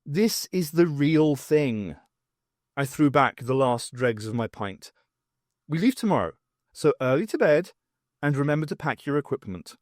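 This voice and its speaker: A man reading a first-person story aloud as an expressive audiobook narrator, with wry, dramatic, emphatic phrasing. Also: on the high side, a little slow, no echo, clean studio sound. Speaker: audiobook reader.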